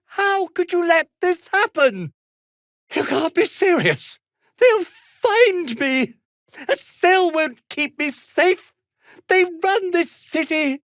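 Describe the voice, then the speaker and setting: Game role: a self-important, slippery British toy maker. Theatrical and wordy, slow delivery with big melodic swings, close dry sound. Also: male voice, extremely high pitch, fairly bright tone, dry recording, exaggerated voice. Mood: outraged, then frightened pleading. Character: fussy, irritable, self-important toy maker, male, slippery and easily flustered. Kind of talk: dramatic video game dialogue.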